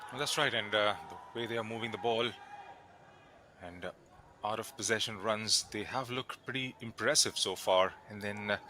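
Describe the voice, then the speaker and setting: A man from India with an Indian accent, co-commentating live football in a measured, analytical way. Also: on the low side, pushed and bright, slight background noise.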